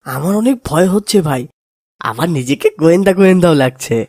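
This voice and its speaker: A woman playing an excitable, naive young boy in a cartoon. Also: fairly low pitch, a little fast, no echo, studio quality. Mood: worried, fearful. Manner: animated, naive. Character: excitable, timid young boy, treasure-dreaming friend. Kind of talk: cartoon voice acting.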